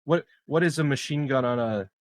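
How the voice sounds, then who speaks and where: A man's voice: medium pitch, brisk. A man chatting casually as a player at a tabletop game. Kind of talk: casual chat.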